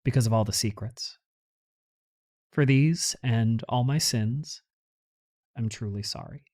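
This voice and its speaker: A man's voice: medium pitch, slow, darkish tone, lightly acted. A man acting an anxious, guilt-ridden young university student making confession in a hesitant way, for an audio drama. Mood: guilty, hesitant. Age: young adult.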